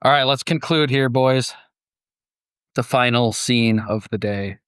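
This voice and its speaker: A man's voice: medium pitch, unhurried, fairly bright tone, clear, resonant tone, no echo, clean studio sound. A man hosting a podcast, moving between conversation and scripted story narration. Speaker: podcast host.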